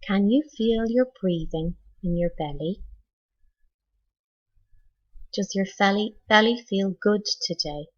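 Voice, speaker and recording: A woman leading a scripted guided meditation like a teacher, in a measured, instructional manner with deliberate pauses. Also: mid-range voice, dull audio.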